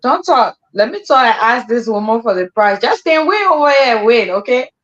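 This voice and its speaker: Laughing Liberian woman hosting a stream. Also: moderate pace. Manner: laughs often, relaxed storytelling with colloquial slang. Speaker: host. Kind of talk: casual chat livestream. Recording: muffled low-fidelity stream audio.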